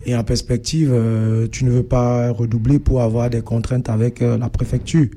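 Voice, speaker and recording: A young man with a Cameroonian accent giving a personal account as an interview guest, speaking haltingly with many filled pauses. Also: medium pitch, level tone, dark tone, slight background noise. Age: young adult. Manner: hesitant, halting delivery with frequent filled pauses.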